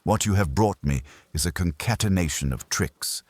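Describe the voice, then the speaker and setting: Guy doing a pompous formal detective from a mystery audiobook, real low husky voice, dry sound. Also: lively intonation, moderate pace, a little mellow, no echo. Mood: stern, formal. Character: imperious, pedantic male detective, sparing and dry.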